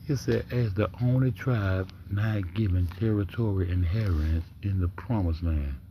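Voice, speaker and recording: A man hosting a livestream with a big deep voice, talking low and dropping to a near-whisper as he reads text aloud, then riffing in loose, profane commentary. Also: lo-fi sound, a little hiss or hum. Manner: talks low, often drops to a near-whisper. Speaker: livestream host.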